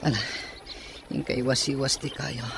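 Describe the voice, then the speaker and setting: A man's voice: mid-range voice, level tone, moderate pace, natural voice. A man playing a weary, ailing castaway captain in an amateur drama, speaking in a subdued way. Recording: clear background noise, outdoor ambience.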